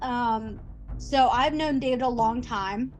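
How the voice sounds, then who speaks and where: A woman's voice: fairly high pitch, slow pace, faint music behind, low-quality recording. A woman in her thirties from Russia, telling her personal story as a podcast guest, chatty with many ums. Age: 30s. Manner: chatty, lots of ums and quoted asides.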